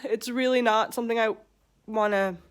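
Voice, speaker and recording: A woman in her twenties from England who speaks with an American accent, talking hesitantly with many pauses and fillers in a personal confessional vlog. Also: on the high side, steady tone, smooth. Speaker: vlogger.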